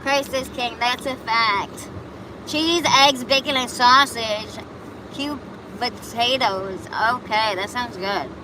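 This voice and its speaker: A woman's voice: on the high side, slow. Chatty woman streaming amid traffic. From Buffalo, New York. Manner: chatty, rambling, reacts to chat comments. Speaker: livestream host. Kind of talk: casual live chat answering viewers.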